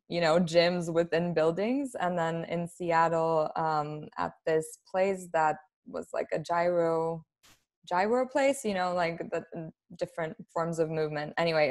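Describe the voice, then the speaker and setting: Low-pitched woman, informal storyteller. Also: darkish tone. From Poland. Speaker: podcast guest, human design expert. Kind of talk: casual interview chat. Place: plain room at home, on a video call.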